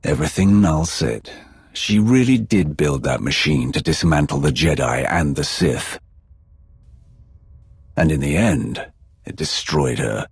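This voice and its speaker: A grim Sith warrior with an extremely deep, heavily rasping voice. He speaks tersely and with authority, a resolute commander in a dramatic game cutscene. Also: animated, measured pace, long pauses, dry recording, full character voice. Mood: grave. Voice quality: gravelly, husky.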